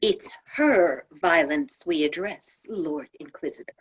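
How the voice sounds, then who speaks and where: A woman's voice: deep voice, expressive, takes it slowly, husky, exaggerated voice, poor audio. A woman playing a hostile medieval accuser in a dramatic script read, mocking and accusatory. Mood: accusing, mocking.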